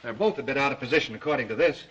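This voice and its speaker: An actor playing an earnest, cautious ship's captain in 1930s film style, worried and deferential. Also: male voice, medium pitch, rapid-fire, a bit bright, muffled recording, slight background noise, natural voice.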